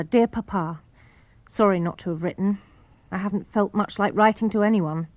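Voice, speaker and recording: A woman with a British accent reading letters and memoir for radio in a gentle, reflective way. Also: medium pitch, no room sound.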